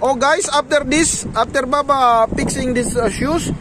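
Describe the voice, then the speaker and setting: A man in his forties with a high voice films a casual vlog outdoors on a street, talking in broken English and repeating himself. Traffic and street noise are clearly heard behind him. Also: normal speed. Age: 40s. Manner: chatty, repeats words, broken English with many fillers. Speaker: vlogger. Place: city sidewalk outdoors.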